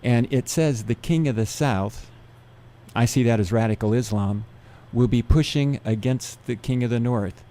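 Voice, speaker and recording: A man who gives seminars, guesting on a radio interview, explaining things in a steady, methodical way. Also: medium pitch, normal speed. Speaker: seminar speaker.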